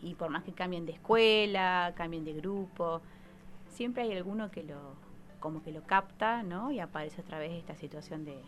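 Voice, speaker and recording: A woman's voice: on the low side, normal speed, faint music behind. A woman with an Argentine accent talking conversationally as an expert radio guest, explaining things and often checking with little tag questions. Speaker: psychologist guest columnist.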